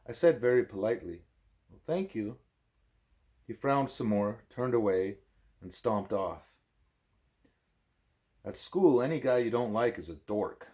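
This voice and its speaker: A man, a parent, reading a book aloud expressively as a bedtime story, with a wry, deadpan manner. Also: on the low side, unhurried, pauses often.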